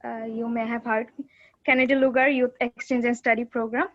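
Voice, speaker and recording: A woman in her twenties from Bangladesh, introducing herself hesitantly on a video call with many pauses. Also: on the high side, little pitch movement, muffled recording. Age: 20s. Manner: hesitant, many fillers and restarts. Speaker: student participant.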